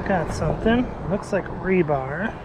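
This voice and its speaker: A fairly high-voiced man talks his way through a magnet fishing session on a bridge, easygoing and thinking out loud. Recorded outdoors on a head-mounted camera, with loud traffic and outdoor noise running underneath. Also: expressive, unhurried. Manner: easygoing, thinks aloud, unsure guesses. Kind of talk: casual narration while working. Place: outdoors on a bridge over water. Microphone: head-mounted action camera. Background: traffic and outdoor ambience.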